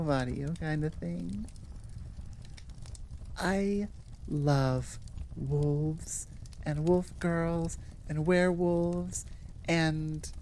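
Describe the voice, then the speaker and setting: North american lady rambling casually, goofy n playful, takes her time, lowish voice, bit of background noise. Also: mellow. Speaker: audio roleplay creator, host. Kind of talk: casual rambling outro chat.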